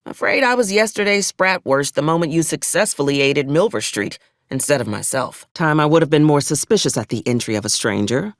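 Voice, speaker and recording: Game casting: haughty, bloodthirsty female frontier officer, folksy ornate phrasing, swooping pitch, dry close recording. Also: expressive, brisk, no room sound. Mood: resigned, dry. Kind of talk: video game character dialogue.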